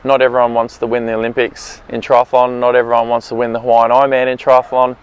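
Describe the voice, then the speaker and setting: A man in his forties, a triathlon coach, explaining thoughtfully with many pauses beside an outdoor pool on a lapel mic. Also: medium pitch, monotone, clean tone, highs cut off. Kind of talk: interview explanation.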